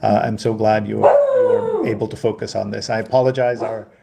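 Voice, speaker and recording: A man hosting a live interview show in a conversational style, speaking in a halting, measured way. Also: on the low side, huge pitch swings, gravelly, over a noisy crowd. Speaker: host of a live readalong show.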